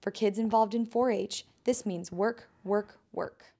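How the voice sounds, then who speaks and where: A young adult woman, a student reporter, reading a scripted news narration with a bright, upbeat delivery. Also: medium pitch, steady tone, measured pace, gravelly.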